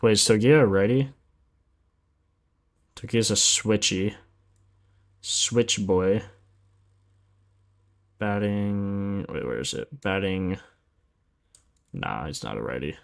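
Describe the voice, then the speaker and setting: A man's voice: fairly low pitch, animated, takes it slowly, gravelly, pauses often. A young man hosting a sports betting livestream, chatting casually in slangy, informal language and thinking aloud as he goes. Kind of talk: casual livestream chat and commentary.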